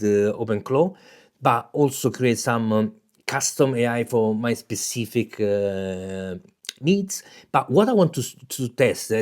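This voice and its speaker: A man with an Italian accent talking through local AI hardware in an unscripted way, with many fillers, restarts and small laughs. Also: mid-range voice. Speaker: tech video host. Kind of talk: casual unscripted explainer.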